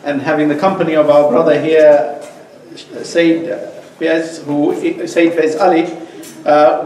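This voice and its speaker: A man introduces a guest politely, pausing with plenty of fillers, his voice a touch high. He sounds set back from the mic in an echoey room with faint hiss. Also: darkish tone, far from the mic. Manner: polite, halting, many fillers. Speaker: host introducing the speaker. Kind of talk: formal introduction. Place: mosque meeting room.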